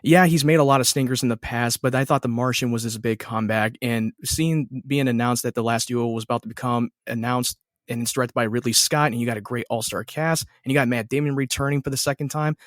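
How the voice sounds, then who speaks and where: A man's voice: mid-range voice, fairly even intonation, fast talker, no room sound, clean studio sound. A man guesting on a film podcast, gushing about movies in long run-on sentences. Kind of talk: casual film podcast chat.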